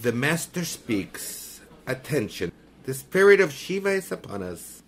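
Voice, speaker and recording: A man's voice: mid-range voice, big pitch swings, unhurried, a little hiss or hum. An actor playing a mysterious, grandiose male showman mystic in a 1930s-style radio drama. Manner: grandiose, ceremonial, oily.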